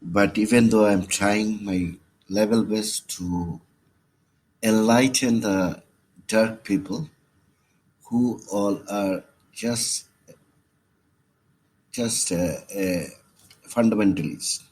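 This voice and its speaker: A man with a Bangladeshi accent leaving a personal voicemail message, with halting, hesitant phrasing. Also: fairly low pitch, darkish tone, slightly rough. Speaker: caller. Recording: noisy voicemail recording.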